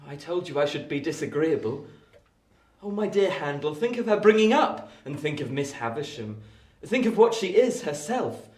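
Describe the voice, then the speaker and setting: An actor playing a genial, frank young Victorian gentleman, earnest and playfully teasing in an audio drama. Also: fairly high pitch, sing-song, moderate pace. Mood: earnest, coaxing. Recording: roomy, echoing sound.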